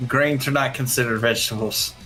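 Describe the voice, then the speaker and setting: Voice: medium pitch, fairly even intonation, brisk, bright, forceful tone, soft background music, low-quality recording. A livestream companion talking in casual chat.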